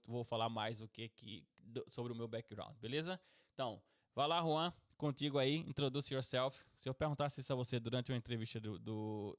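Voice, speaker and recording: Middle-aged Pernambucan man, casual podcast host, mid-range voice, talkative. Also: medium pitch. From Brazil, Pernambuco. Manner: chatty, keeps tagging "certo?" and "beleza?".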